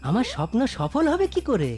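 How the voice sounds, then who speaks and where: A woman's voice: fairly high pitch, huge pitch swings, brisk, warm tone. A woman voicing a bold, scheming village husband in a children's cartoon, theatrical and coaxing. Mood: coaxing, confident. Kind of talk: animated cartoon dialogue for children.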